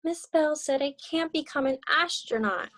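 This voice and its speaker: A woman's voice: sits high, moderate pace, fairly bright tone, pitched above the performer's own voice. A woman voicing an earnest little girl who dreams of space, in a children's storybook. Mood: upset.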